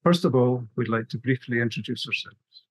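A man's voice: mid-range voice, moderate pace, slightly rough, dry recording. A middle-aged man with a Scottish accent, presenting a prepared webinar talk over a video call. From west coast of Scotland. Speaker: graphic novelist, webinar presenter.

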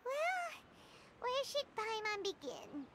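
A woman voicing a small, excitable, anxious fairy companion with a squeaky childlike voice in a video game. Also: takes it slowly, heavy background noise, full character voice. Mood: chipper, scheming. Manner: speaks of herself in third person, exclaiming. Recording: loud game music behind.

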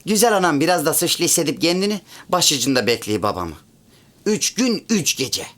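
A man's voice: on the high side, sing-song, fairly quick, fairly bright tone. An actor in a comic radio play voicing a chatty, sentimental half-French man with a rural Central Anatolian Turkish accent and a pedantic streak. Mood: chatty storytelling. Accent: rural Central Anatolian Turkish, Çorum area. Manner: folksy, rambling, pedantic about grammar. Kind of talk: comic radio theatre dialogue.

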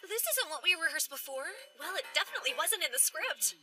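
A woman playing a blunt, grumbling teenage club girl in an animated series. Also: high voice, fast, over music. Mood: puzzled. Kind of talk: animated series dub acting.

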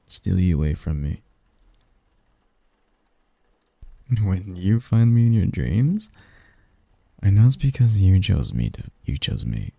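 Man acting as a dark-voiced, seductive demon lover. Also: deep voice, creaky, breathy edge, no room sound, heavily acted. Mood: possessive, yearning. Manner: flirtatious, formal wording, soft intimate delivery, chuckling. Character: seductive possessive male sleep demon lover, teasing and doting. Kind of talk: intimate ASMR roleplay acting.

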